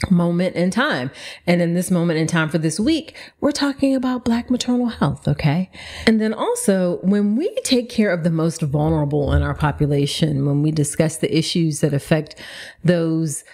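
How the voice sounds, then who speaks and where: A woman's voice: fairly low pitch, normal speed, darkish tone. A middle-aged woman, a doctor hosting a solo podcast, speaking from a script with conversational asides and sounding slightly stuffy from allergies. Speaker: podcast host and OB-GYN doctor.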